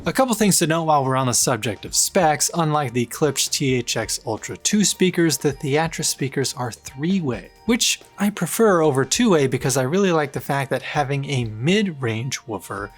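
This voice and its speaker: A man's voice: on the high side, lively intonation, normal speed, few pauses. A man narrating a scripted product review as a gear reviewer, with playful, colloquial asides. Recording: music bed under the voice. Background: background music.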